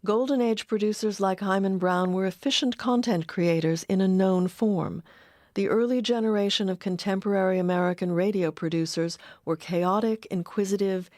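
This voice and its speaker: A woman's voice: medium pitch, darkish tone, dry recording, clean studio sound. A woman reading scripted documentary narration as its narrator. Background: music.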